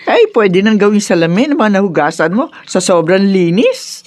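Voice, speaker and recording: A woman playing a caring, gently firm older Filipino mother and grandmother in a radio drama. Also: medium pitch, very animated, normal speed, natural voice. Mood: teasing.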